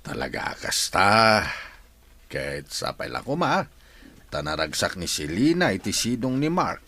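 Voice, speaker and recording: Kamag-anak na Ilocano, malikot ang tono. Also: male voice, on the low side, sing-song, measured pace, sharp tone, exaggerated voice. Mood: reflective. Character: calm, consoling male relative in a family drama. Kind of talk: radio drama acting.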